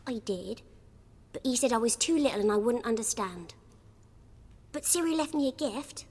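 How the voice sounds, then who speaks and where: A woman's voice: a little high, animated, normal speed, a little mellow, husky, big-room sound. An eager, chirpy little girl. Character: earnest little village girl.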